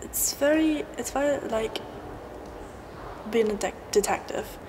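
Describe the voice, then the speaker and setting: A woman's voice: a little high, measured pace. Young woman, from China, reflective, careful, ambient noise behind. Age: young adult. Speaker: first-year student. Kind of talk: documentary interview.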